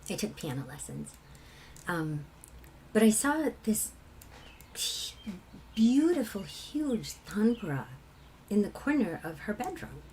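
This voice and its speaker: A woman from England with a low, husky voice, a singer telling personal stories in a reflective conversation. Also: very animated, slow, long pauses, warm tone, slight background noise, low-quality recording. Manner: reflective, laughs often while telling stories. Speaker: kirtan singer.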